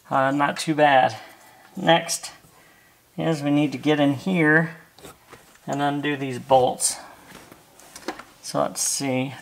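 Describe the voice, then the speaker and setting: Gravelly middle-aged American man. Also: medium pitch, fairly bright tone. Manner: easygoing, talking while working with his hands. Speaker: host of a car accessory install video. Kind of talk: casual hands-on tutorial chat. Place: inside a parked car. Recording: faint background noise and clicks.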